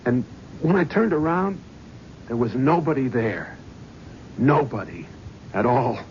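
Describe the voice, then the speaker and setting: A jittery New York agent. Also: male voice, mid-range voice, lively intonation, a little slow, a little mellow. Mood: shaken. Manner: tense, defensive. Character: jittery hard-drinking talent agent, defensive. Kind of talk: 1970s radio drama acting. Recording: dull old radio broadcast with faint hiss.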